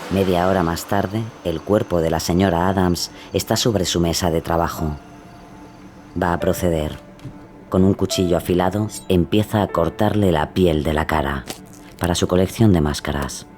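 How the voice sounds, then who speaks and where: A man's voice: low voice, fairly even intonation, moderate pace, darkish tone, faint music behind. A man narrating a scripted, dramatic true-crime story.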